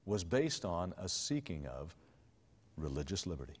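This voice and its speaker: Deep-voiced, earnest male interviewer. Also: big pitch swings, in a large hall. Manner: earnest, reflective, measured. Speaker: host.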